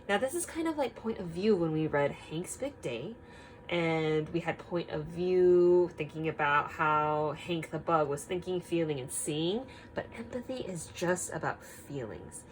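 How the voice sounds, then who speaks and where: A woman teaching young children through an expressive read-aloud, prompting them with frequent simple questions. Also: low-pitched, unhurried, slight background noise, low-quality recording.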